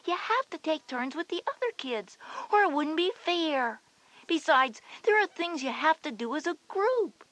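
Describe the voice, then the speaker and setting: High-voiced chatty big sister. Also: female voice, fairly bright tone, dry recording, full character voice. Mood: reassuring, bossy. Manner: explanatory, reassuring, big-sisterly. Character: confident chatty older sister, a schoolgirl. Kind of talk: scripted children's educational audio drama.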